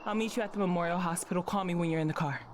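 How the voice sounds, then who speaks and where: An actress playing an urgent, bossy sister on the phone in an audio drama, with background noise. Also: female voice, fairly low pitch, rapid-fire, lightly acted.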